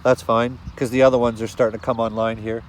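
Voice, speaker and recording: A man's voice: mid-range voice, little pitch movement, fast. A man with a sniffly cold, casually walking through his garden in the evening and talking about his plants, with lots of fillers and restarts. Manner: rambling, with frequent fillers and restarts. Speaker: gardening vlogger. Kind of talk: casual walk-through narration. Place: outdoor garden plot in the evening.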